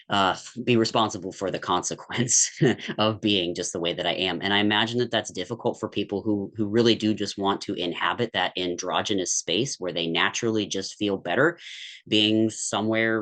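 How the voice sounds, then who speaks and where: A man's voice: lowish voice, a bit bright. A man talking candidly as a podcast guest, thoughtful and rambling, with many restarts and fillers. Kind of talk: candid conversational podcast talk.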